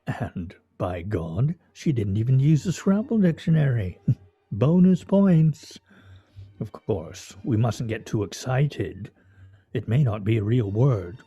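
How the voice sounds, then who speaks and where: A theatrical, needling husband in a radio play. His voice is very dark and husky, and he talks in florid mock-formal phrases with swooping pitch. The recording is slightly dull, with music behind. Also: very animated, slow, full character voice. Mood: sly, mocking. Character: pompous, needling, theatrical husband and scrabble player.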